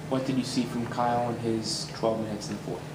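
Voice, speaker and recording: A man's voice: mid-range voice, flat delivery, a little fast. A male reporter asking questions at a press conference. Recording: echoey room, distant, loud noise.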